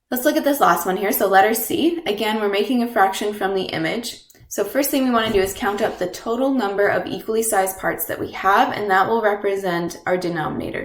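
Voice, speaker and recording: A woman teacher with a stuffy nose from a cold, patiently explaining fractions step by step and often checking in with "okay?". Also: medium pitch. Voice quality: nasal. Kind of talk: recorded math lesson. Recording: echoey room.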